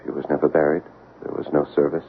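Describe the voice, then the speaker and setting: A man's voice: a bass voice, flat delivery, fast talker, creaky. An actor playing a troubled, haunted man in an old-time radio horror drama, earnest, intense and theatrical. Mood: tense, shaken. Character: troubled, haunted grown son seeking truth about his mother. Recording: noisy, muffled vintage radio recording.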